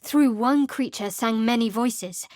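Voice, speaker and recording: Game role: an actress as a sardonic guarded young cleric, fairly high voice, dry close studio sound. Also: female voice, a little slow, darkish tone, no echo, natural voice. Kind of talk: video game character voice lines. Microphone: close studio mic.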